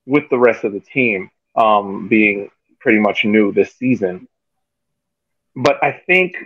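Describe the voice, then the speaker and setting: A man giving casual sports analysis on a video call, halting and repeating words as he thinks. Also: lowish voice, no echo. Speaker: sports podcast panelist. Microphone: video call microphone. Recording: dull, dry video-call audio.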